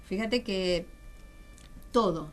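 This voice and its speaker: A woman's voice: mid-range voice, extremely slow, soft background music. Casting: Argentine woman, Rioplatense accent, radio interview guest, enthusiastic storyteller explaining in a conversational way, mid-range voice. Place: radio studio.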